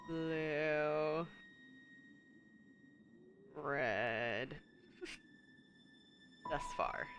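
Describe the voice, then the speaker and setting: A woman with a blocked, sniffly nose talks through a puzzle game at her desk, mumbling half-thoughts and chuckling to herself. Her voice has a gravelly edge, and music plays clearly behind her. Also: sits low. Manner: muses aloud, reads in-game text, chuckles often. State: stuffy nose. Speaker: streamer. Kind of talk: casual gameplay commentary.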